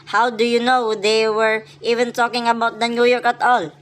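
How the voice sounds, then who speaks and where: A woman's voice: on the high side, little pitch movement, moderate pace, a little hiss or hum, lightly acted. A woman playing an unimpressed, patronizing city police officer in a radio play, placating and dismissive. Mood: skeptical, weary.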